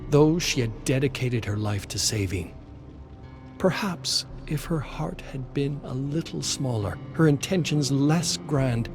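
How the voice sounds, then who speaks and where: A man narrates a dark dramatic tale with slow, deliberate pacing. His delivery is solemn and measured, with faint music underneath. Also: medium pitch. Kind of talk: dramatic scripted story narration.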